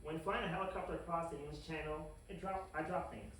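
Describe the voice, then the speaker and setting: A performer acts out a wicked male scientist from a cartoon comedy, scheming yet awkward. The delivery is halting and deadpan. It sounds very roomy and a little off-mic, with faint background noise. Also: mid-range voice, lightly acted. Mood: dismissive. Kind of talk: animated comedy table read. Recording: echoey room, distant mic, faint noise.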